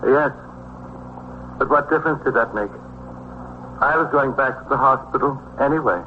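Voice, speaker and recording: A fretful, unstable man played by an actor in a vintage police radio drama, with a medium-pitched voice. He pleads in a naive, plaintive, repetitive way. The recording is dull with light noise. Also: normal speed, close to an everyday voice. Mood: anxious, pleading. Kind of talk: 1950s radio drama acting.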